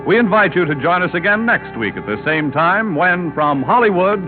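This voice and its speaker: A man's voice: high-pitched, animated, moderate pace. A male announcer reading a sponsor ad and credits in polished 1950s radio style. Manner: bright, polished announcer delivery. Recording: tinny old radio broadcast with music.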